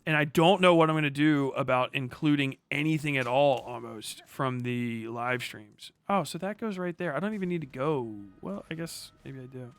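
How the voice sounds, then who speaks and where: A man's voice: mid-range voice, normal speed, few pauses, no room sound. A man chatting casually on a livestream as he plays a video game, rambling in slangy, profane language. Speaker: live streamer.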